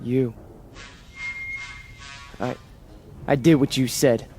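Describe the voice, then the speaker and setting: An actor playing a boastful, unstable young fanatic in a radio drama, intense, stammering and repeating himself. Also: male voice, medium pitch, steady tone, drawn-out words, warm tone, faint music behind. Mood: feverish pride. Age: young adult.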